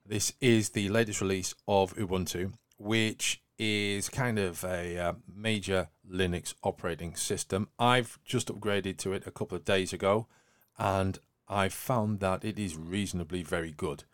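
A man with a lowish voice presents tech news on a podcast. The sound is dry, with little room in it. His delivery mixes read items with wry, flippant commentary. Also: steady tone, measured pace, dry recording. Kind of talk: news discussion podcast, semi-scripted.

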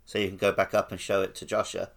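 A man's voice: sits low, monotone, fast talker. A man co-hosting a gaming podcast, chatting casually about the game and the show. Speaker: podcast co-host.

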